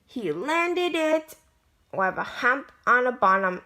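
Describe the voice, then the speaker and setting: A woman reading a children's picture book aloud in a halting way, stumbling over words as she goes. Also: fairly high pitch, big pitch swings, bright, forceful tone. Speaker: amateur story reader.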